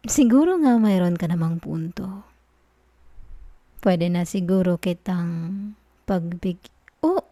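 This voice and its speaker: A woman doing a slow, teasing flirty professor. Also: on the low side, no echo. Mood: amused, coy. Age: adult. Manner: teasing, soft-spoken, chuckles often. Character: flirty, dominant female university professor, teasing. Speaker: ASMR roleplay performer. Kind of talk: ASMR roleplay.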